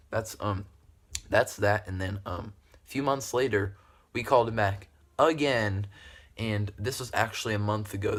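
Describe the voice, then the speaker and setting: A man's voice: fairly low pitch, a bit bright. A young man telling a story casually to camera in a rambling, giggly, repetitive way. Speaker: video creator telling stories.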